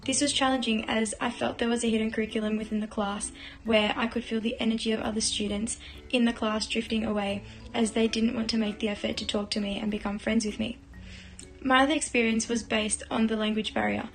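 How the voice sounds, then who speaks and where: A young woman whose first language is Greek reads steadily in a fairly high, very flat voice. Faint music sits behind her. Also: fairly quick. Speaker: university student. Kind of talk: read-aloud personal account.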